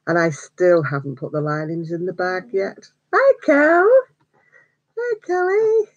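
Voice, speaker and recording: A woman's voice: fairly low pitch, animated, measured pace. An older woman hosting a casual live stream, chatty and greeting viewers by name between little laughs. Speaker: crochet vlogger. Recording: slightly dull home stream audio.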